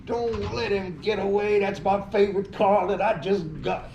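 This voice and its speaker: A man's voice: high-pitched, fairly even intonation, moderate pace, a little mellow, lo-fi sound. A man reading the part of a cocky, sarcastic young car owner in an amateur play. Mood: frustrated. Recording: echoey room with faint noise.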